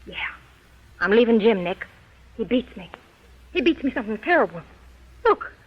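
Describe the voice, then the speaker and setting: An actress playing a wary, bitter gangster's moll with a guarded, dramatic delivery in an old-time radio crime drama. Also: female voice, fairly high pitch, very animated, moderate pace, breathy edge. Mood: distressed. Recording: muffled vintage radio recording.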